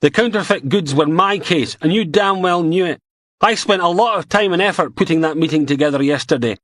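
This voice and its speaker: A man voicing a pompous, bearded male detective inspector who blusters and shouts. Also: a little high, fairly quick, dry recording, studio quality, voice pushed up, lightly acted. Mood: furious.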